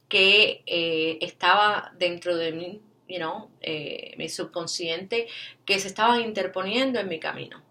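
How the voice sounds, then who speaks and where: A woman's voice: medium pitch, pushed and bright. A woman from Cuba with a Cuban Spanish accent, telling a personal story to her channel's viewers and dropping English fillers and frequent tag questions into her Spanish. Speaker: vlogger.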